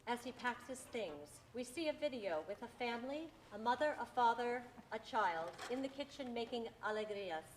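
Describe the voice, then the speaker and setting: A woman's voice: on the high side, lively intonation, moderate pace, hardly any pauses, a little hiss or hum, close to an everyday voice, low-quality recording. A woman reading stage directions as scripted narration for a play reading.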